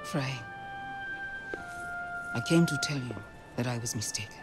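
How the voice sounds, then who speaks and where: An actress playing a grave, devout council leader in a video game, speaking formally and solemnly. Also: female voice, rumbling low, a little slow, over loud music. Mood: humble, afraid. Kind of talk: video game cutscene acting.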